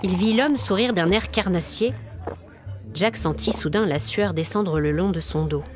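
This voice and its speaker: A woman's voice: on the low side, normal speed, music in the background. A woman storyteller narrating a scripted audio story in a dramatic, atmospheric manner. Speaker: storyteller, podcast host.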